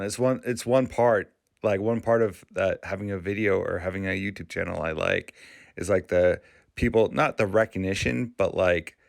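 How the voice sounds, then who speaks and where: A man from Maine hosting his channel, talking casually in a halting, rambling way with frequent restarts. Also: low voice, vocal fry, no echo, studio quality. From Maine, USA. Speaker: YouTube channel host. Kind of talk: casual vlog commentary.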